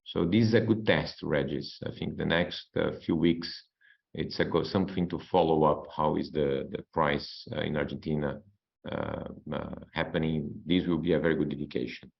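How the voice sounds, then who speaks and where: Deep gravelly man on a muffled call. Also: fairly even intonation, moderate pace. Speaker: company executive. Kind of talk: answering analyst questions on an earnings call. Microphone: conference call line. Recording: muffled conference call audio.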